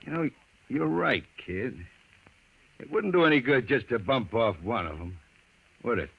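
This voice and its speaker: Domineering gangster boss, old radio. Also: male voice, mid-range voice, lively intonation, unhurried, gravelly, husky, heavily acted. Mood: confiding, scheming. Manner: slangy, domineering tough-guy talk. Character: tough, suspicious, bullying big-shot male gangster boss. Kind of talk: old-time radio crime drama acting. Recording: muffled old radio recording.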